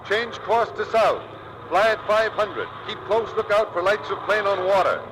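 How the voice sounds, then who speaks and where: An actor playing a tough, loyal American Navy squadron commander in old-time radio drama style, earnest and commanding. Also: male voice, high-pitched, normal speed, background noise, natural voice. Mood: urgent command.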